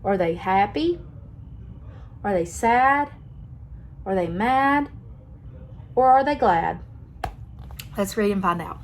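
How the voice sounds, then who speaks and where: A young woman reading a picture book aloud to children, expressive and pausing to ask them questions. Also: mid-range voice, slight background noise. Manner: expressive, asks listeners questions. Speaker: children's story reader.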